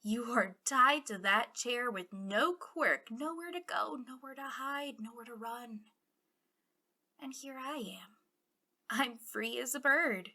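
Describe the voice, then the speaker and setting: A woman voicing a bitter, taunting female villain, a fallen hero, in an ASMR roleplay, breathy and often dropping into whispers, with a mocking, conspiratorial drawl. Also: on the high side, slow, pushed and bright, heavily acted. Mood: mocking, smug.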